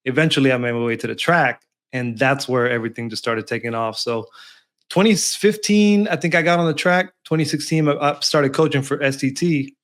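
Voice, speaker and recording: A man with a mid-range voice, telling his story in an easygoing, conversational way as a podcast guest. He keeps a relaxed, friendly flow. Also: medium pitch, brisk. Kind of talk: casual podcast chat.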